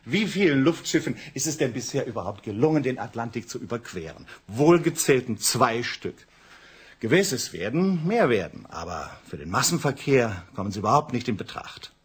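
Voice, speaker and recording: Animated, husky, driven engineer husband. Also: male voice, medium pitch, normal speed. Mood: confident, insistent. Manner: expressive, alternately placating and insistent. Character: driven engineer husband, soothing yet obsessed with work. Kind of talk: German radio drama acting.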